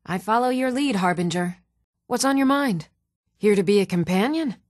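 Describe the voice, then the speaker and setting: A woman's voice: mid-range voice, lively intonation, moderate pace, no room sound, clean studio sound, natural voice. An actress playing an eager, proud young warrior woman in a fantasy video game, earnest and spirited. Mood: friendly, brisk.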